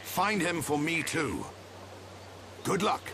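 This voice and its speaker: An actor playing a dutiful, formal and solemn military commander in a video game cutscene. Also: male voice, fairly high pitch, sing-song, slow pace, noisy background, full character voice. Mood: encouraging.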